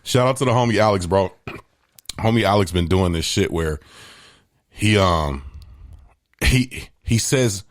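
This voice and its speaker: A deep-voiced man co-hosts a podcast with loose, slang-heavy storytelling. His pitch sits low, and the recording is dry and close. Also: a little slow, long pauses, no room sound. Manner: animated storyteller, slangy, laughs at own jokes. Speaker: podcast co-host. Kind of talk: casual podcast chat.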